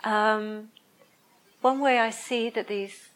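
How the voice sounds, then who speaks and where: A woman with a soft voice giving a reflective meditation talk to a group, speaking slowly and pausing often to search for the right words. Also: fairly high pitch, steady tone. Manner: slow, hesitant, searching for words. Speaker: meditation teacher. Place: room with a listening group.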